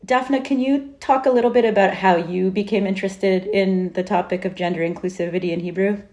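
A woman's voice: mid-range voice, fairly even intonation, brisk, a little mellow. Woman interviewer posing questions. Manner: friendly, inviting questions.

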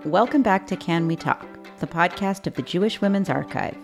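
A woman hosting a podcast, reading a scripted introduction and interviewing guests in a measured, clear way. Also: lowish voice, expressive, soft background music. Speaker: podcast host.

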